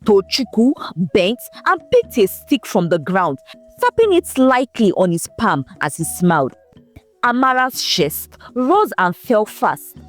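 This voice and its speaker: A woman narrating a folktale in a scripted, expressive, dramatic storytelling manner. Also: mid-range voice, measured pace, soft background music.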